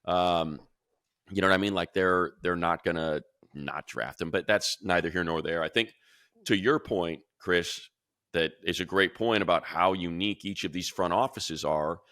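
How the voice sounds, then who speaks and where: A man talking football in a casual sports podcast chat from a room at home, rambling with restarts and growing animated when he compares players. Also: low-pitched, moderate pace, dry recording, studio quality. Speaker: radio play-by-play announcer.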